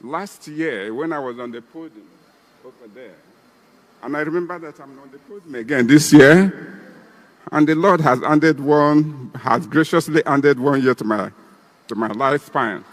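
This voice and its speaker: A man's voice: a little high, normal speed, long reverb tail, low-quality recording. A man in his eighties with a Nigerian Igbo accent, giving a ceremonial speech as an elder breaking kola nut. He rambles and repeats himself. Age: 80s.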